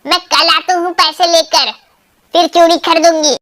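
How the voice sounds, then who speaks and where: Sly cartoon crow wife played by a woman: high voice, wheedling, exaggerated, thrifty schemer. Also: fairly even intonation, moderate pace, fairly bright tone. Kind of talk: Hindi children's cartoon voice acting.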